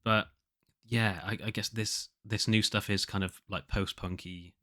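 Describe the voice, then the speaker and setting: A man with a low but nasal voice, chatting casually on his own as if keeping an audio diary, rambling and hesitant as he thinks aloud. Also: steady tone, a little slow, bright, forceful tone. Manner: hesitant, rambling, self-questioning. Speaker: podcast host, musician.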